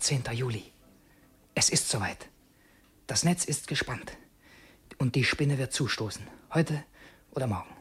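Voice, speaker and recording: An actor playing a cunning infiltrator posing as a loyal secretary, in a measured, formal, husky radio drama style. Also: male voice, mid-range voice, animated, natural voice. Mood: cold, menacing. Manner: measured, brooding diary narration, formally courteous to employers. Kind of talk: German radio drama acting.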